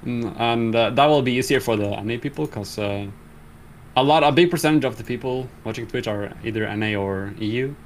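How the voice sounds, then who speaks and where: A man's voice: medium pitch, fairly quick, a little hiss or hum. Hesitant man on a podcast call. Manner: hesitant, many ums and restarts. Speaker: streamer guest. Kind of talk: casual chat.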